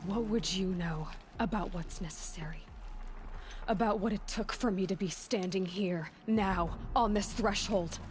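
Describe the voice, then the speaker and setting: A woman's voice: medium pitch, unhurried, a little hiss or hum, lightly acted. A woman as a defiant young huntress. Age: young adult. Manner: direct, forceful retorts. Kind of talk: video game cutscene dialogue. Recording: game audio with music behind.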